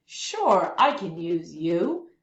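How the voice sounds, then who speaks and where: A woman voicing a brisk, bossy but welcoming elevator man in a children's storybook, giving firm instructions. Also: on the low side, big pitch swings, highs cut off, voice pushed down, heavily acted. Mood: firm instructive. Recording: roomy echo, faint background noise.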